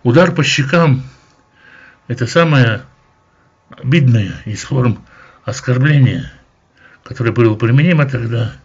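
A man teaching verse by verse through a Bible chapter, in a measured, explanatory way with occasional hesitations. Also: mid-range voice, husky, lo-fi sound.

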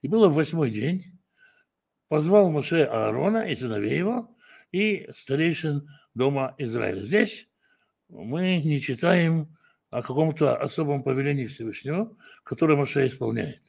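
A man praying and then teaching from Scripture, speaking slowly and deliberately, often pausing to correct his own words. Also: on the high side, lively intonation, vocal fry, darkish tone, husky. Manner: slow, measured delivery with frequent hesitations and self-corrections. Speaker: preacher and Bible teacher. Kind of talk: prayer, then a Torah study lesson.

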